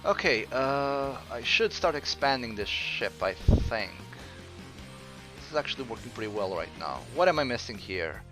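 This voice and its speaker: A man from Croatia with a mixed Croatian accent, chatting casually while streaming a game and answering viewers, with frequent pauses. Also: mid-range voice, big pitch swings, music in the background. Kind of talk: casual gameplay commentary.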